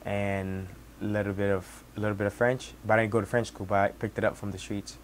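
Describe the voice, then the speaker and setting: Young Senegalese man, twenties, native Wolof speaker; low, even delivery, halting with restarts; faint background noise. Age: 20s. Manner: halting, many restarts and fillers. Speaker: cook. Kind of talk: casual personal storytelling.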